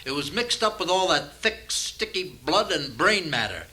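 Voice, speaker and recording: A man's voice: a little high, very animated, normal speed, sharp tone. A man playing a distracted, rambling middle-aged police chief in a comic radio play. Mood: stunned. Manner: rambling, absent-minded, dramatic. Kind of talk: comic radio theater acting. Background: music.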